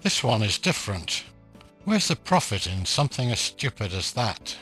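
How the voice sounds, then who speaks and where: A man playing a sceptical, self-assured ghost hunter in an audio play, speaking in a musing, deliberate way. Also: fairly low pitch, very animated, fairly bright tone, faint music behind, voice pushed up. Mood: intrigued.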